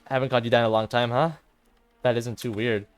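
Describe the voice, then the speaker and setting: A man's voice: mid-range voice, little pitch movement, fast talker, smooth, no echo, natural voice. A man playing a despairing teenage girl club president, subdued, hesitant and self-blaming, in a visual novel drama. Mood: resigned.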